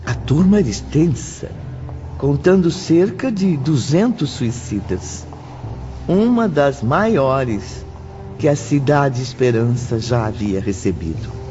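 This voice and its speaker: A man reading scripted audiobook narration in a formal, expressive manner. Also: mid-range voice, slow pace. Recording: dull lo-fi audio under music.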